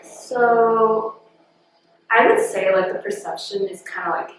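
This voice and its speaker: A woman's voice: medium pitch, takes it slowly. Hesitant woman in a very echoey room. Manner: hesitant, filler-heavy, trails off quietly. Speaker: interviewee. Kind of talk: casual interview answers. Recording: very echoey room, slightly distant, faint noise.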